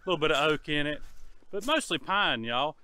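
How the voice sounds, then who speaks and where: A man's voice: fairly high pitch, lively intonation, a little fast, bright, forceful tone, smooth. A middle-aged man with a Southern US accent, chatting casually to the camera in a folksy, easygoing way while working outdoors on his homestead. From North Carolina. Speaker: homesteader vlogger. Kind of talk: casual vlog narration. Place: outdoors in a wooded homestead yard. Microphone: camera held close at arm's length.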